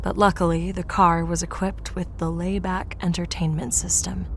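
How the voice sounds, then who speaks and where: An actress playing a beleaguered, earnest podcast investigator in a first-person audio drama. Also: female voice, fairly low pitch, little pitch movement, normal speed, a little hiss or hum, lightly acted. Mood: wry, bleak narration.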